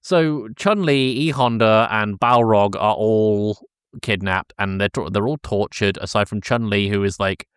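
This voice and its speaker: A man co-hosting a podcast, casually recapping a film in a deadpan, exasperated comic manner. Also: fairly low pitch, unhurried, clear, resonant tone, dry recording, studio quality. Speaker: podcast co-host.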